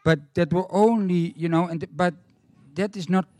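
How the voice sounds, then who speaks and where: A man who is not a native English speaker, preaching informally in short, halting phrases with pauses for an interpreter. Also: high-pitched, fairly even intonation, darkish tone, dry recording, faint music behind. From Netherlands or Denmark. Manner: halting, repetitive, informal storytelling. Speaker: guest preacher.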